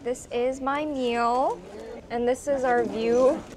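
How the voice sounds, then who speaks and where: A woman's voice: sits high, fairly even intonation, slow pace, crowd noise. A young woman in her twenties, chatting casually and upbeat in a travel vlog on a lapel mic. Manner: chatty, upbeat, rambling with many "like"s. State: sniffling after a shower. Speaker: travel vlogger. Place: hotel room, indoors.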